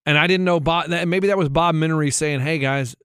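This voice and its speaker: A man co-hosting a sports podcast, excitable and repetitive, telling casual comic stories and acting out the dialogue. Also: a little high, fairly quick, smooth, no room sound, studio quality. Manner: excitable, repeats himself, acts out dialogue. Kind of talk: casual comic banter and storytelling.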